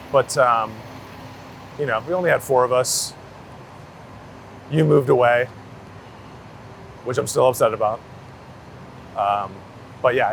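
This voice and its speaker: A man gives a casual outdoor interview as a street photographer. His mid-range voice has a gravelly creak, and his wording is loose and slangy. Faint city street noise sits around him. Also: medium pitch, a bit bright, rough recording.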